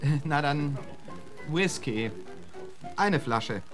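An actor playing an urbane, witty poet in a radio play, suave and flowery with a dry humour. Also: male voice, fairly high pitch, lively intonation, extremely slow. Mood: polite, careful. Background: music.

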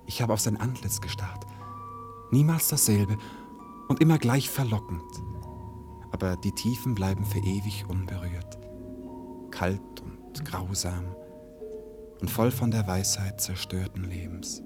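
Radio play lead: brave, poetic young lover, lower-pitched, solemn and lyrical delivery, faint music underneath. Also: male voice, unhurried, pauses often. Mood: brooding, hushed. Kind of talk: German literary radio play acting.

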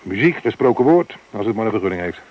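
A man playing a gruff, cynical radio inspector. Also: on the low side, big pitch swings, fast talker, darkish tone, poor audio. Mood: grumbling. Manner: blunt, grumbling, crude. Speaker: radio monitoring van operator. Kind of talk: radio drama dialogue.

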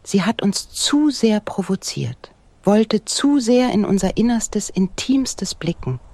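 A scornful female conspirator in a radio drama, acted by a woman. Her voice is breathy, creaky and mellow, dismissive and self-justifying, with lively movement. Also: mid-range voice, slow, no room sound, heavily acted. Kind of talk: dramatic audio drama acting.

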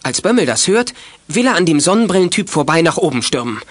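A man's voice: a little high, very animated, fast, no echo. A man narrating a youth radio play in scripted, dramatic storytelling style.

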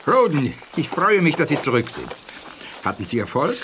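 An actor playing a wise, cautious, conciliatory alien scientist, measured and cultivated, in a 1980s German radio play. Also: male voice, a little high, huge pitch swings, noisy background. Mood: pleased, curious.

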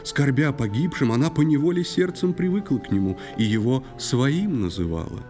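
A man narrating a children's audio play in verse, with expressive, unhurried storytelling. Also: medium pitch, faint music behind.

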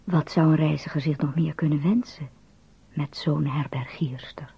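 An actress narrating a radio drama as a hushed storyteller, savouring the words in a breathy, whispery voice. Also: female voice, sits low, fairly even intonation, moderate pace, dry recording, exaggerated voice. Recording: dry, dull recording.

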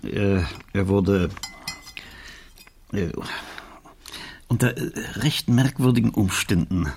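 An actor playing a strait-laced, embarrassed middle-aged uncle in a radio crime drama, husky and stammering with evasive hesitation. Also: male voice, on the low side, slow, a little mellow, full character voice. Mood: awkward, evasive.

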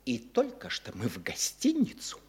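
A fussy provincial landowner, breathless stammering rambler, lively bouncing pitch, radio play. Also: male voice, moderate pace, in a whisper. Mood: eager. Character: fussy chattering provincial landowner, middle-aged man. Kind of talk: stage radio play acting.

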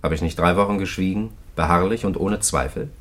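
An actor playing a calm, stubbornly silent imprisoned chaplain with grave, deliberate delivery in a 1950s German radio play. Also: male voice, low voice. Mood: steadfast. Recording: roomy 1950s radio studio recording.

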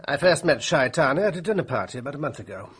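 An actor playing a dry, upper-class British army major in a radio drama, speaking in a blunt, clipped and disdainful way. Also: male voice, a little high, big pitch swings, quick pace, fairly bright tone, breathy edge, full character voice.

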